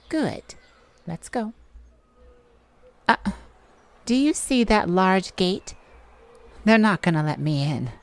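A woman playing a weary, defiant mage in a dramatic audio roleplay, speaking in a halting, wry way. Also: low-pitched, exaggerated voice. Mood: resigned, dry, then surprised, guarded. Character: weary, defiant human mage longing for captured mate.

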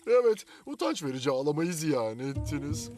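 Sarcastic, lively cartoon bug. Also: male voice, a little high, normal speed. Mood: defensive, resigned. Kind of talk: animated cartoon dub acting. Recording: faint music behind.

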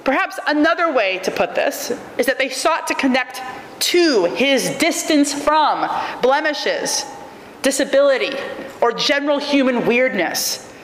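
A woman in her thirties reads a prepared academic lecture at a podium, measured and wryly emphatic, her fairly high voice carrying through a large auditorium. The sound is roomy with a long reverb tail and a faint hiss of room noise behind it. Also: expressive. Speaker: keynote lecturer. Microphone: podium microphone.

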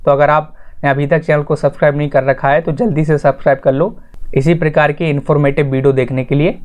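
A man's voice: a little high, level tone, brisk, lo-fi sound. A man hosting an informal explanatory voice-over lesson, addressing viewers directly in an instructional way and restating his points. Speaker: tutorial host.

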